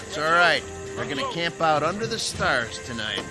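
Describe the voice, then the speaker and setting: Radio drama: chatty army sergeant driver, informal, folksy, on the higher side, loud score underneath. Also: male voice, big pitch swings, moderate pace. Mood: brisk, cheerful. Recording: loud music and vehicle sounds behind.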